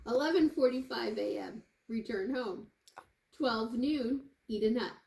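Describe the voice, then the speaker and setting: A woman reading a children's storybook aloud like a kindergarten teacher, with expressive, deliberate phrasing for young listeners. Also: a little high, creaky. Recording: echoey room with faint noise.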